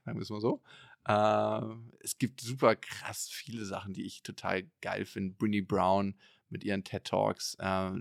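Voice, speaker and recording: A man in a relaxed podcast conversation, talking in long loose trains of thought with many fillers. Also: fairly low pitch, gravelly, dry recording, clean studio sound. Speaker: podcast guest and entrepreneur.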